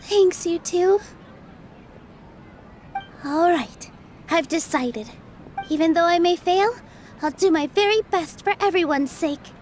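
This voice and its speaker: An actress playing a gentle, self-doubting young woman in a game dub, sincere and hesitant. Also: very high-pitched, slow pace, long pauses, slight background noise. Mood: grateful, resolved. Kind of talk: English game dub acting.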